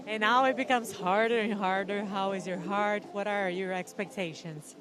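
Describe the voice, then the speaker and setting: A woman's voice: medium pitch, fairly even intonation, normal speed, background noise. A woman with a Brazilian Portuguese accent working as a field reporter, asking upbeat, encouraging questions. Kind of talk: on-site interview.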